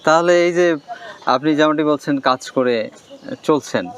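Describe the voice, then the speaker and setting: A man's voice: fairly high pitch, moderate pace. A man with a Bangladeshi accent hosting an interview, addressing viewers formally and putting questions to a guest. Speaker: interviewer, host.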